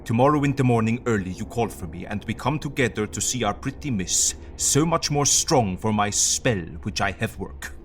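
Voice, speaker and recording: A man's voice: lowish voice, lively intonation, moderate pace, few pauses, faint music behind, natural voice. An actor playing an eccentric, kindly older professor in an audio drama, speaking in a solemn, fatherly manner and foreign-sounding syntax. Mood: weary, confident.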